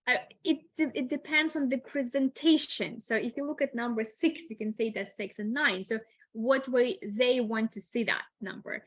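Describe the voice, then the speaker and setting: A woman's voice: a little high, unhurried, a bit bright. A woman guest on a webinar, talking casually and repeating words as she thinks aloud. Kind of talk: casual online talk.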